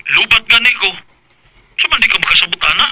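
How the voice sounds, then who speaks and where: A woman's voice: medium pitch, lively intonation, fast talker, very noisy, pitched below the performer's own voice, natural voice. A woman voicing an apologetic young man who pleads with his girlfriend, in a radio drama. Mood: pleading. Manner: defensive, pleading.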